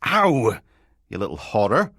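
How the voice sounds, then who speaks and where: A man's voice: medium pitch, lively intonation, normal speed, dry recording, studio quality, pitched above the performer's own voice, lightly acted. A man voicing a grumpy, sarcastic, weary male police sergeant in an audiobook, curt and exasperated. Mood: startled.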